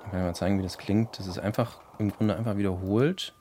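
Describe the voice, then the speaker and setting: A man's voice: on the low side, fairly even intonation, brisk, background noise. A man casually explaining and demonstrating, like a sound engineer at work.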